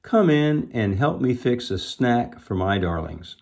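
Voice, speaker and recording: A man's voice: mid-range voice, sing-song, measured pace, gravelly, darkish tone, voice pushed up. A man voicing a kindly, reassuring storybook housewife with a soothing, exclamatory manner.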